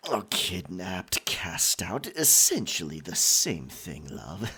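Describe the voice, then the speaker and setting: A man's voice: low-pitched, big pitch swings, slow pace, pushed and bright, full character voice. A man playing a possessive, roguish merman pirate captain in a theatrical British pirate accent, in an intimate, often whispered ASMR roleplay. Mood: roguish, dramatic. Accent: stage pirate British. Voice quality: breathy, husky, often whispering. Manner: theatrical, drawling endearments.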